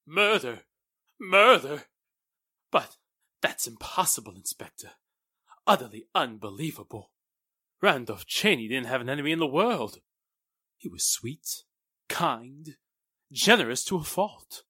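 A male actor performs an evasive businessman from a 1940s radio drama. His forceful voice swings widely in pitch with theatrical emphasis. The sound is close and dry. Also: sing-song, measured pace, pauses often, breathy edge, no room sound, exaggerated voice. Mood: shocked disbelief. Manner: theatrical, emphatic, faltering when challenged. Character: excitable, evasive businessman Mister Lawton, a murder suspect.

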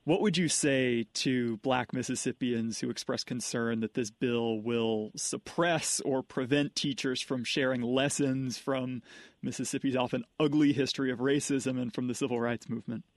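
A man hosting an interview, asking steady, direct questions. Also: mid-range voice, moderate pace, no room sound, studio quality. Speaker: radio interviewer.

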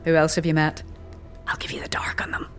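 A woman's voice: low voice, monotone, a little fast, a bit bright, whispered, soft background music. A woman playing a sardonic older seer and teacher in a fantasy audio drama, dry, wry and gossipy. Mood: mocking, sardonic. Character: sardonic older female undead-philosophy tavern seer and teacher.

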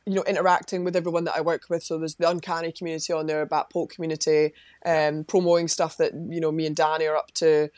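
A woman's voice: sits low, little pitch movement, fast, darkish tone, dry recording, studio quality. A woman being interviewed as a guest, talking casually in a rambling way, full of fillers and restarts. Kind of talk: casual chat.

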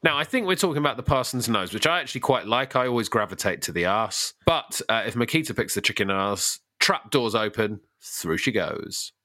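A man's voice: lowish voice, lively intonation, brisk, hardly any pauses, bright, forceful tone. A man co-hosting a podcast in casual comedy chat, talking in a bantering, teasing way. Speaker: podcast co-host.

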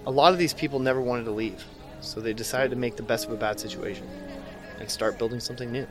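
A man's voice: mid-range voice, quick pace, close to an everyday voice. A man playing an amiable, compassionate survivor in an audio drama. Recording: music bed behind the voice.

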